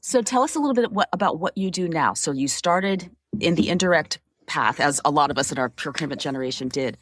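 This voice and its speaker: A woman's voice: low-pitched, animated, fast talker, no echo. A woman hosting a podcast interview, speaking in a friendly, conversational and affirming manner. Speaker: podcast host. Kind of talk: hosted interview.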